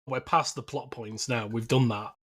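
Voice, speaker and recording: A man's voice: mid-range voice, fairly even intonation, moderate pace, slightly rough. A man hosting a podcast, steering a casual film discussion in a blunt way. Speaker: podcast host guiding the discussion.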